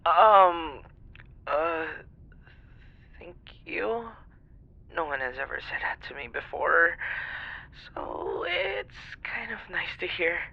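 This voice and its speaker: A man's voice: on the high side, very animated, slow, creaky, long pauses, exaggerated voice. A man playing a flustered, apologetic young stranger on a phone call, rambling and hesitant in an intimate ASMR roleplay style. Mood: bashful. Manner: hesitant, stammering, sighing, rambling.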